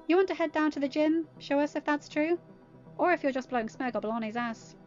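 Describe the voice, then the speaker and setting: Woman putting on a high, sarcastic teenage girl. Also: fairly quick, a little mellow, highs cut off, faint music behind, close to an everyday voice. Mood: teasing.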